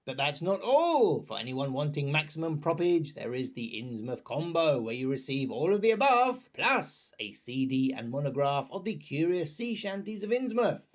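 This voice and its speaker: A man with a fairly high, very expressive voice narrates a scripted review. He sounds chatty and wry, dropping playful asides as he presents. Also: moderate pace, hardly any pauses.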